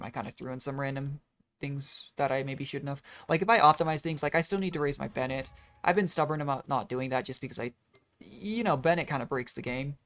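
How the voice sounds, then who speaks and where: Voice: medium pitch, fairly bright tone. A game streamer rambling casually through gameplay commentary, thinking aloud in a self-deprecating way. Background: video game music.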